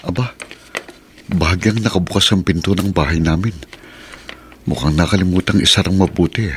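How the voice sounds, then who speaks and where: A man's voice: low-pitched, moderate pace, slight background noise. A man acting in a radio drama as a humble, weary driver and family man, plain-spoken and concerned. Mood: tired, musing. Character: weary, humble working-class husband and father, a driver.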